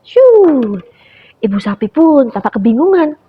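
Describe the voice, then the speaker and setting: A young woman tells a story to small children in a bright, lively voice with lots of pitch swings. Her voice is a little breathy, and the recording sounds dull and lo-fi. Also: measured pace. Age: young adult. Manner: animated, calls listeners "friends".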